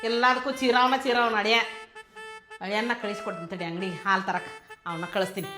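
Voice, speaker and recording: A woman's voice: medium pitch, quick pace, lightly acted. A woman voicing a fussy, defensive mother-in-law who chatters on, in a North Karnataka Kannada accent. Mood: fussing. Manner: fussing, defensive, talkative. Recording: music playing behind.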